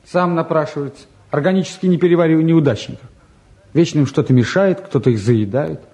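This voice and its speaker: An actor playing a bored, restless eighteen-year-old younger son, grumbling and flippant in a naturalistic drama. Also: male voice, on the high side, brisk, dark tone, lightly acted. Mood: mocking. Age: young adult. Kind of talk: naturalistic stage and radio drama acting.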